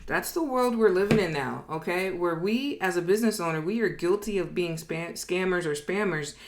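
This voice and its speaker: A woman's voice: on the low side, animated, moderate pace, a little hiss or hum. A woman presenting an informal lesson in a conversational, emphatic way, acting out imagined quotes and often checking that listeners follow. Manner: conversational, emphatic, frequently checks in with "okay?" and "right?". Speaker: business educator, presenter. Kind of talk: informal explainer lesson at a board.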